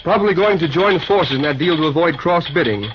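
An actor playing a hearty, busy American railroad superintendent, brisk and informal in an early radio drama. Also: male voice, a little high, natural voice. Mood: brisk, confident. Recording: muffled, noisy old radio recording.

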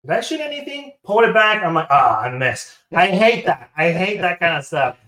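A man's voice: high voice, sing-song, normal speed, sharp tone. Taiwanese-accented man telling stories, roomy sound. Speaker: guest, sponsored competitive shooter. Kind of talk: casual interview storytelling.

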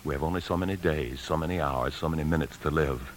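An actor playing a tense, desperate embezzling husband in a 1950s radio drama, speaking with urgent, confessional intensity. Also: male voice, a bass voice, fast talker. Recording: old radio broadcast, faint music.

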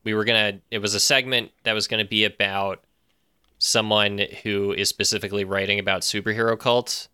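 Bright, clear-voiced man, podcast guest. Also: lowish voice, flat delivery, a little fast, dry recording. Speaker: podcast guest, audio fiction creator. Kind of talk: casual interview chat.

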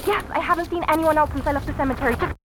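A woman's voice: high voice, racing, lightly acted. An actress playing an anxious young woman investigator in an audio drama, speaking in a hesitant, naturalistic way. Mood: frightened, breathless. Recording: faint background noise.

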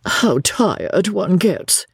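A woman voicing an anxious, prim, self-effacing older spinster from a novel, in a hushing, fretful manner. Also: lowish voice, animated, very slow, creaky, husky, dry recording, clean studio sound, pitched above the performer's own voice, exaggerated voice. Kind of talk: audiobook character voice.

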